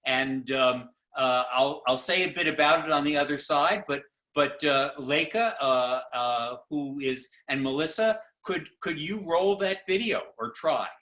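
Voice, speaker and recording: Halting, slow-talking man moderating. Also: fairly high pitch, sharp tone. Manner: halting, many fillers and restarts. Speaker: panel moderator. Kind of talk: live video call panel discussion. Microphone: video call.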